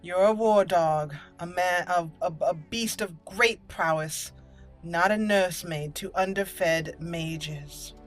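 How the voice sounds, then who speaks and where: A woman's voice: on the low side, slow, soft background music. A woman hosting a roleplay let's play, switching between casual commentary and reading character dialogue aloud.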